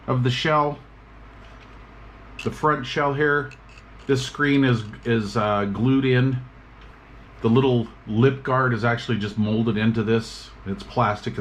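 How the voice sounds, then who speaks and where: A man giving a relaxed, casual review of radio gear, chatting with plenty of fillers as he works at a tabletop. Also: medium pitch, a little hiss or hum.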